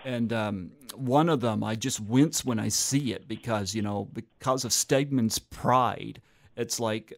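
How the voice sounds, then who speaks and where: Halting male movie-review host. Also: medium pitch, gravelly. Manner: halting, many ums. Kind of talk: casual interview.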